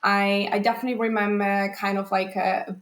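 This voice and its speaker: A woman's voice: medium pitch, flat delivery, fairly quick, a bit bright, roomy sound. A woman from Poland, a golf teaching professional, chatting as a podcast guest in a thoughtful way with many restarts. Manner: thoughtful, frequent restarts and fillers, light laughs. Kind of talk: casual podcast interview.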